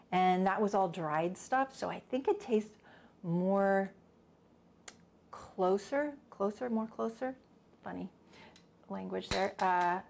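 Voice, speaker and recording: A woman's voice: medium pitch, gravelly, darkish tone, a little hiss or hum. Chatty woman teaching in a home kitchen. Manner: chatty, thinking aloud, with small asides. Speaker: cooking instructor. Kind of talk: casual cooking demonstration.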